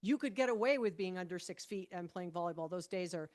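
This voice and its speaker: A woman in her fifties from Hawaii, a podcast guest telling stories about her past in a relaxed, animated way, with frequent restarts and light laughter. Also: fairly low pitch, brisk. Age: 50s. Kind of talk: conversational podcast interview.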